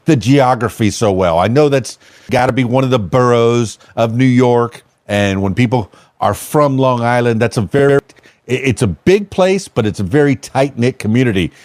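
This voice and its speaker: A man's voice: mid-range voice, a little mellow, no room sound. A man in his fifties from South Florida hosting an interview, chatty and joking, thinking aloud with lots of fillers. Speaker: podcast host. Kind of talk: casual interview.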